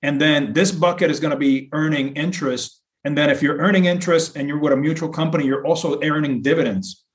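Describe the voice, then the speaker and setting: A man's voice: a little high, steady tone, fast. A middle-aged man from Puerto Rico talking as an interview guest, explaining in an earnest, conversational way and repeating phrases for emphasis. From Puerto Rico, later south of Boston. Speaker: podcast guest, insurance broker.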